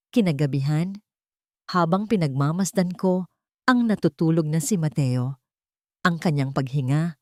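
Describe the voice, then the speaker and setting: Low-pitched woman narrating a drama. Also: a little mellow, no echo, studio quality. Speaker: story narrator. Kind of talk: scripted first-person dramatic narration.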